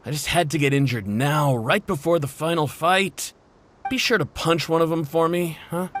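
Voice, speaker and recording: Man as injured fighter, rueful, slightly high pitch, fantasy game dialogue, faint background noise. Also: normal speed, a bit bright, lightly acted. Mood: disappointed.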